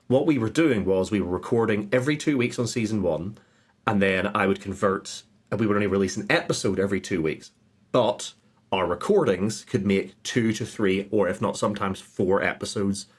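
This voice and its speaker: A man's voice: on the low side, moderate pace, husky. A man giving casual, informal answers as a podcast guest, with a self-deprecating way of talking. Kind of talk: casual conversational interview answers.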